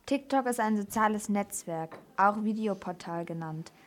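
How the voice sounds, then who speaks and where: A woman's voice: mid-range voice, normal speed. A woman presenting on a children's radio programme, reading a scripted factual report.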